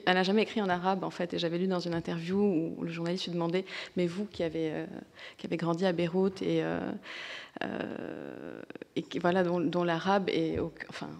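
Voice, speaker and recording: Woman from Lebanon, 30s to early 40s, lowish raspy voice, halting panel talk in a reverberant lecture hall. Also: darkish tone. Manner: hesitant, many fillers and restarts, laughing. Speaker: comics author on a panel.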